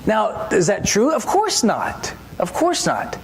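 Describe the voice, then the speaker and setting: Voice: medium pitch, huge pitch swings, takes it slowly, vocal fry. A preacher giving a church sermon in a conversational way, often asking the listeners rhetorical questions.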